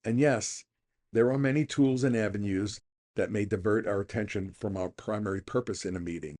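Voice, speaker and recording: A mature man with a fairly low voice reads a scripted daily meditation. He is middle-aged or older and delivers the text as a reader on a recovery programme. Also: normal speed, a little mellow.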